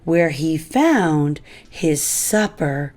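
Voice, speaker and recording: Very slow-paced woman reading to children. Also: low voice, animated, darkish tone. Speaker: host reading children's books aloud. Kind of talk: storybook narration for young children.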